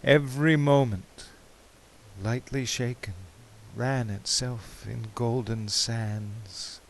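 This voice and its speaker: A man giving a dramatic poetry recitation in a measured, theatrical, expressive way, with drawn-out phrases. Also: medium pitch. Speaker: poetry reader.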